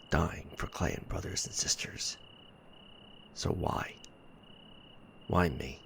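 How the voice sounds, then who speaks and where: An actor playing a weary, bitter stranded mech warrior, muttering a sci-fi audio log in a breathy, gravelly murmur with sighs and hesitant pauses. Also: male voice, very deep voice. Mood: grieving, anguished. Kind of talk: sci-fi ASMR roleplay audio log monologue.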